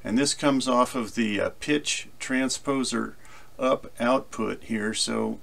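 A man presenting an informal software screencast tutorial, explaining conversationally as if thinking aloud. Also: mid-range voice, slow pace, gravelly.

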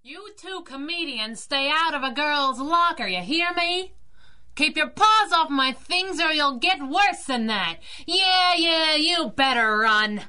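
A woman playing a scrappy, sharp-tongued young cab driver in a 1930s-style radio drama, brash and wisecracking. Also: sits high, expressive, slow pace, full character voice. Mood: angry.

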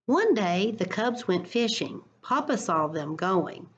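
A middle-aged woman reading a story aloud to children in a deliberate, expressive way, recorded on a computer at home. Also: mid-range voice, husky, muffled recording. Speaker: school educator reading to children. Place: living room at home. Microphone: computer webcam at arm's length.

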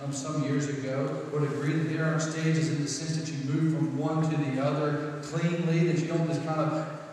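A man's voice: a little high, little pitch movement, moderate pace, a little mellow. Middle-aged male pastor, conversational sermon, roomy distant sound with a faint background hiss. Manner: conversational, repeats words when building a point. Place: large church sanctuary. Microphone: far camera, room pickup. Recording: echoey hall from far off.

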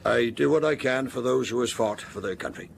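An actor playing a genial, shrewd country innkeeper with archaic rustic speech in a radio drama. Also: male voice, medium pitch, a little fast, creaky, a little hiss or hum. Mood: kindly.

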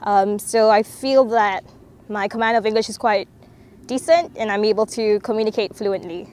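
A woman's voice: mid-range voice, moderate pace, a little mellow. A young woman from Malaysia, a university student, answering interview questions outdoors in an articulate, slightly formal way. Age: young adult. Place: outdoor park.

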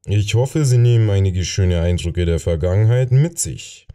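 A man's voice: on the low side, animated, moderate pace, dark tone, close to an everyday voice. A man voicing a grandfather who works as a tour guide, formal and courteous, in an audiobook. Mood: polite, formal. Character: grandfather tour guide politely closing a castle tour.

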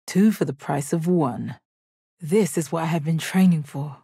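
A calm alien warrior woman for a fighting video game. The voice sits fairly low and speaks in a measured, formal, deadpan way. She sounds confident and kind beneath the stoicism, recorded very dry with no room sound. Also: breathy edge, no echo, heavily acted. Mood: assured. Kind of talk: video game character voice lines.

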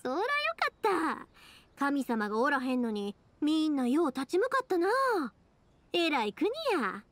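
A woman's voice: high voice, expressive, unhurried, a little hiss or hum. A woman playing a cheerful, caring young woman in an anime game, chatty and friendly, with a Kansai accent. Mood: curious, chatty. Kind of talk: anime game character dialogue.

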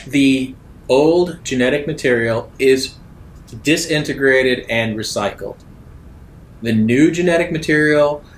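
A man voicing a dismissive, rule-bound official of a futuristic corporation in a tabletop game, clinical and insistent. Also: natural voice. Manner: clinical, matter-of-fact, insistent. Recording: roomy echo, low rumbling noise.

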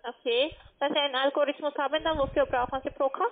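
High-pitched female telemarketer on a muffled line. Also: fairly even intonation, fast. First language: not German. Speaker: cold caller selling trading platforms. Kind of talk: scripted sales pitch by phone.

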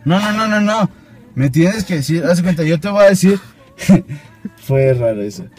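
A young man with a Mexican accent improvising a phone prank in a halting, hesitant way, recorded inside a small car. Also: on the high side, expressive, darkish tone, breathy edge, soft background music. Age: young adult. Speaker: prank caller, video host. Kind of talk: improvised prank phone call and casual chat. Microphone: camera at arm's length.

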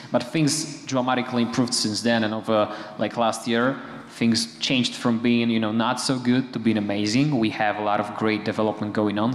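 Young male panelist, steady monotone delivery, lots of fillers, handheld mic, long hall reverb. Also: fairly low pitch. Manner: hesitant, many fillers and restarts. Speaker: panelist, framework contributor. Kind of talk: conference panel discussion. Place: conference stage, large hall. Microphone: handheld microphone.

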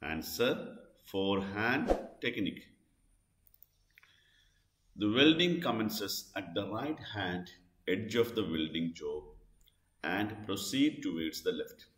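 Male tutor for scripted quiz narration: deep voice, deliberate and repetitive, roomy echo. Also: big pitch swings, fairly bright tone. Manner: deliberate, repeats each question and answer. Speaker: instructor. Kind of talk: scripted question-and-answer lesson narration. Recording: echoey, roomy voice-over.

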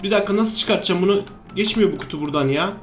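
A gamer giving casual, excitable co-op commentary, often exclaiming and repeating words. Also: medium pitch, very fast. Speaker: gaming video host. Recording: roomy echo, music behind.

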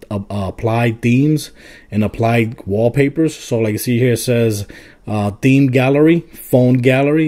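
Casual man with a slightly mellow voice. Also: medium pitch. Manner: informal, step-by-step explaining. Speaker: tech video host. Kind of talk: casual phone app tutorial.

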